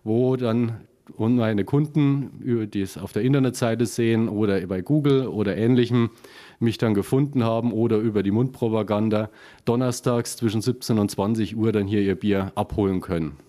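A man from Upper Franconia with a Franconian German accent, talking in a relaxed, explanatory way with plenty of fillers. His voice sounds mellow and dark. He sits in a small basement brewery room. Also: on the low side, normal speed. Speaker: small craft brewer, guest. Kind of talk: casual interview.

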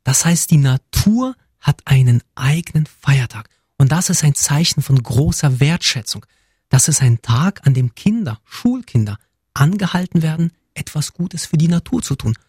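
A man's voice: fairly high pitch, expressive, moderate pace, a little mellow, breathy edge, dry recording, studio quality. A man who grew up in Germany, answering interview questions earnestly and often speaking in lists. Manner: earnest, list-like phrasing. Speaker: guest, community representative.

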